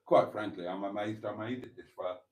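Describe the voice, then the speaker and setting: A British man in his fifties, talking to his viewers in a relaxed, rambling way. His voice sits fairly low and sounds warm and mellow. He trails off into ums and calls the audience darlings. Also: a little fast. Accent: British English. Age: 50s. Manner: chatty and self-deprecating, calls viewers darlings; rambling with frequent ums and restarts. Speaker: vlogger host. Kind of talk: casual live-stream chat.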